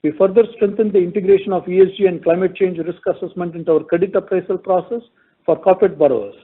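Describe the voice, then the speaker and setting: A man's voice: sits high, steady tone, quick pace, breathy edge. Indian man on a tinny phone line. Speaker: company finance executive. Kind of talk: formal earnings call presentation, reading figures. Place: conference call.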